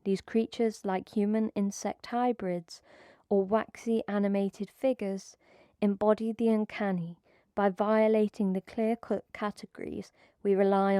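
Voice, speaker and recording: A woman with a warm, mellow voice delivers scripted academic narration, measured and even. The sound is close and dry, with no room around it. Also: mid-range voice, smooth, no echo. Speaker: video essay narrator.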